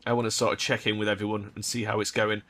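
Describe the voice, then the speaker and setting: A man hosting a let's play, chatting casually about the game, his voice slightly croaky. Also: fairly low pitch, flat delivery, fast talker, a bit bright. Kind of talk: casual game commentary mixed with reading dialogue aloud.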